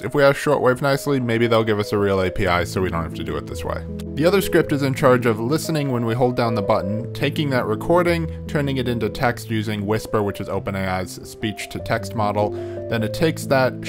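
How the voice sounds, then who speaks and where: A man hosting a DIY tech video, narrating casually with informal, wry, self-deprecating humor. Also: fairly low pitch. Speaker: host of a DIY tech project channel.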